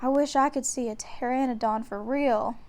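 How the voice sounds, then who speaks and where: A woman's voice: fairly high pitch, fairly even intonation, moderate pace, a little mellow, close to an everyday voice. A woman voicing a cautious, bookish young boy in a children's storybook, earnest and admonishing. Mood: curious.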